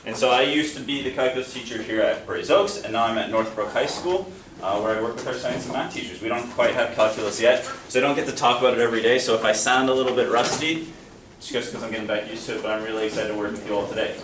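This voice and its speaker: A man's voice: mid-range voice, fast talker. A young man teaching a math lesson to a class in a friendly, encouraging, self-deprecating way. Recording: echoey classroom from far off, noisy.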